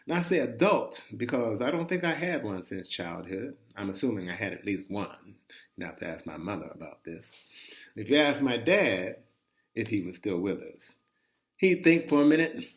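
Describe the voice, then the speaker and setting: A man with a medium-pitched voice tells a personal story as if talking to a friend. His delivery is folksy and animated. He often turns aside to speak straight to the listener. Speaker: reader of a personal chronicle. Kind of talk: conversational first-person storytelling.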